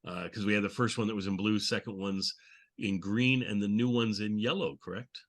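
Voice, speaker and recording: A man's voice: on the low side, fairly even intonation, fairly quick. A man hosting a casual group chat, talking in an enthusiastic, rambling way. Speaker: show host. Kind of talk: casual group chat about old radio.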